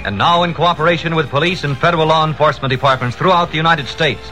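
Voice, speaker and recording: Vintage radio host, male, bright punchy highish voice, formal and emphatic, light background noise. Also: quick pace, faint music behind. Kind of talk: 1940s radio scripted announcing. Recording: faintly noisy old radio recording.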